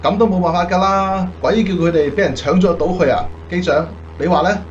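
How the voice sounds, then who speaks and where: A man's voice: sits high, fairly even intonation, brisk, muffled recording, slight background noise, close to an everyday voice. A man acting a cheerful, cocky bomber crewman in a radio drama. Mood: resigned, casual.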